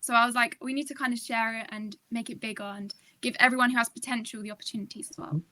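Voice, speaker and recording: A woman's voice: a little high, fairly even intonation, fast talker, bright, forceful tone, dry recording. A seventeen-year-old woman from the UK, a podcast guest chatting casually about founding her science nonprofit, full of fillers. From United Kingdom. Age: teens. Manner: chatty, many fillers like "kind of".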